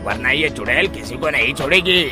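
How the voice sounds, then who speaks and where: A man's voice: mid-range voice, expressive, a little fast, over loud music, natural voice. A man voicing a timid young groom-to-be in a cartoon, nervous and pleading. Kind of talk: cartoon voice acting.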